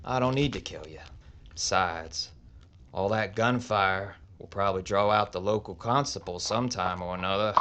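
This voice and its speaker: An actor playing a cool Old West gunfighter who speaks in a calm, unhurried, mocking drawl. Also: male voice, low voice, slight background noise. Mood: taunting.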